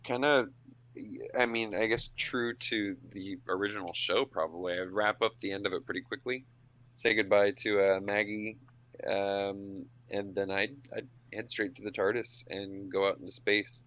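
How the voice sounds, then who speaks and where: A man plays in a tabletop role-playing game, talking casually and making it up as he goes. His voice sits fairly low but has a bright, forward edge. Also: steady tone, moderate pace. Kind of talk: casual improvised game chat.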